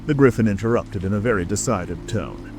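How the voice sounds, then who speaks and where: Low-pitched man narrating over music. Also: expressive, brisk. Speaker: audiobook narrator. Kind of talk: scripted storybook narration. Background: rain ambience and music.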